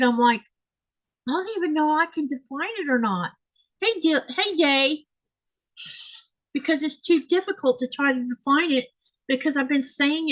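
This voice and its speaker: This is a woman from Florida with a Southern accent, hosting a relaxed sewing chat at home. Her voice sits a little high, and she wanders through her thoughts with many ums and restarts. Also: no echo, poor audio. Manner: rambling, self-correcting, frequent fillers. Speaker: host. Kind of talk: casual chat while sewing, reading comments. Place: home craft room.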